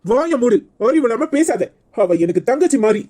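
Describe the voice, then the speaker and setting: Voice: mid-range voice, expressive, fairly quick, warm tone, natural voice. An actor playing a male village brother-in-law in a melodrama, protective and earnest but short-tempered. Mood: angry. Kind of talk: melodramatic village serial acting.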